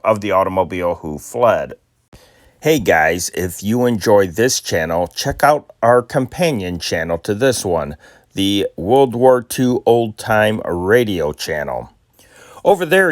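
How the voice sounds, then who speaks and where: A man reads old newspaper stories aloud at a middling pitch, in a plain, unpolished way with the odd stumble and restart. The sound is dry and close, with no room around it. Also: no echo. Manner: plain amateur reading with small slips and restarts. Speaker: newspaper reader and channel host.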